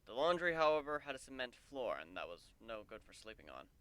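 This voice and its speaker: A man narrates fiction as a dramatic reading. His voice is bright and clear, at a middling pitch, recorded close and dry. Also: big pitch swings, brisk, dry recording. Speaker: narrator, fan fiction reader.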